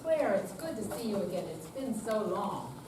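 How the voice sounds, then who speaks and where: A woman's voice: medium pitch, big pitch swings, moderate pace, lightly acted. An older woman in an amateur staged reading, playing a patient, candid retired woman and devoted daughter. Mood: friendly. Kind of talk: amateur readers theater. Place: senior center, recorded from afar. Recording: far-off echoey room, clear background noise.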